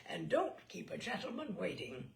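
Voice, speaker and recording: Lady reader as a cheeky tramp guy acting the gentleman, brazen, creaky, expressive. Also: fairly low pitch, normal speed, roomy sound, exaggerated voice. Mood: demanding. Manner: brazen, mock-pompous. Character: cheeky male vagrant with mock-gentlemanly airs.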